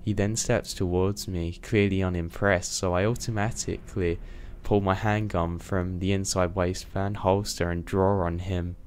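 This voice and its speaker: A young man narrating a scary first-person story in a steady, scripted read. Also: low-pitched, a little slow, slight background noise.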